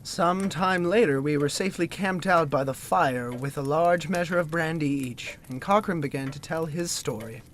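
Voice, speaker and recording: An actor playing a pompous, earnest gentleman decorator, telling his gothic comedy tale in a theatrical, melodramatic manner. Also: on the high side, moderate pace, hardly any pauses, slight background noise, lightly acted. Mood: tense storytelling. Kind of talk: theatrical first-person gothic comedy narration.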